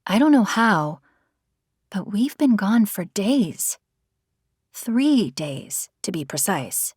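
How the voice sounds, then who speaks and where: A man voicing an impish, sunny young female AI companion in an audiobook, with a light, breathy, expressive delivery. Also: high voice, slow, no echo, clean studio sound, pitched above the performer's own voice, full character voice. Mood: uneasy. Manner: cheerful, expressive. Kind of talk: audiobook character voice.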